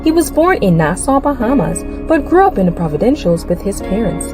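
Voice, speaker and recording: A woman's voice: medium pitch, sing-song, fairly quick. A woman announcer reading a guest's biography aloud as scripted narration. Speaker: show announcer. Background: music.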